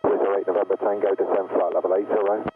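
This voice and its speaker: A man's voice: deep voice, flat delivery, fast. A male air traffic controller giving clipped, businesslike procedural instructions over an aircraft radio. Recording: tinny, noisy aircraft radio.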